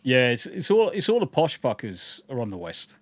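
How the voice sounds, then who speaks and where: A man's voice: medium pitch, wildly swooping pitch, normal speed, a bit bright, dry recording. A man co-hosting a casual podcast livestream, joking and swearing freely while greeting viewers in chat. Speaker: podcast co-host.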